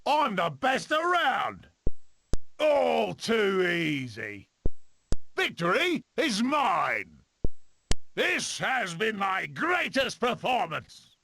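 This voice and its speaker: Cocky weasel adventurer for a cartoon game, high bright voice that swoops all over, theatrical and boastful. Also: male voice, vocal fry, a little hiss or hum, heavily acted. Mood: triumphant, boastful. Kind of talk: animated film video game voice clips.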